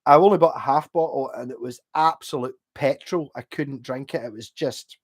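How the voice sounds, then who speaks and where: A man's voice: mid-range voice, moderate pace. A man with a Glasgow accent rambling casually and swearing freely as a livestream host, reading out and answering viewers' comments. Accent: Glaswegian. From Glasgow, Scotland. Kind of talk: casual chat.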